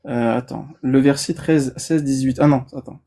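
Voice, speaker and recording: A man's voice: mid-range voice, steady tone, normal speed, gravelly, dark tone. A man in a heated online debate who talks sarcastically, interrupts often, and gets flustered.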